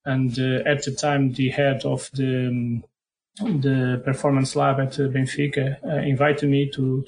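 A middle-aged man with a strong Portuguese accent speaks English as a second language. His tone stays flat and even, with little rise or fall. He stops often, restarts words and fills gaps with "uh" while he searches for them. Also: medium pitch, creaky, low-quality recording. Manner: hesitant, many fillers and repeated words. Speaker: head of football performance analysis. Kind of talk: podcast interview answers.

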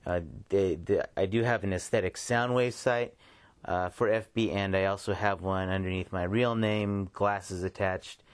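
A man hosting a podcast in casual solo talk, laid-back and halting, speaking in short phrases. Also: sits low, fairly even intonation, smooth, no room sound. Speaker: podcast host.

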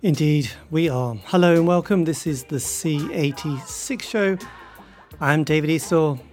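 A man's voice: fairly high pitch, unhurried, darkish tone, soft background music. A man, hosting a radio interview in a rambling, chatty way. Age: 50s. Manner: chatty, rambling with many sort-ofs. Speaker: radio show host. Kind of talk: casual interview chat.

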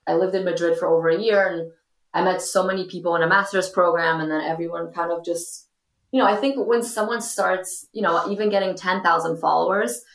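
A young woman talks in a low-pitched, gravelly voice, chatty and full of ums and you-knows. She speaks casually as a podcast guest, and her voice rings a little in an echoey room. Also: brisk. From Portugal. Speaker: guest, influencer. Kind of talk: casual interview talk.

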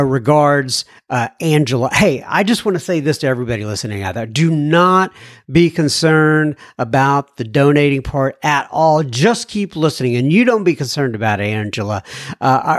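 A man's voice: on the high side, no room sound, studio quality. A man hosting a recovery podcast, reading listener letters aloud and adding folksy asides with frequent restarts. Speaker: podcast host.

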